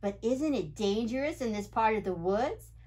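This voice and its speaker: A woman's voice: a little high, animated, moderate pace, dark tone, husky. A woman voicing a timid, nervous little male friend from a children's storybook in an anxious, exaggerated way.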